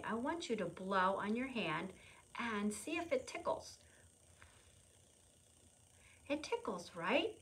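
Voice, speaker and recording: Animated woman presenting a preschool storytime. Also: mid-range voice. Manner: simple repetitive explanations with questions and prompts for small children; refers to self in third person. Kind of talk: children's lesson, demonstrating to young viewers at home.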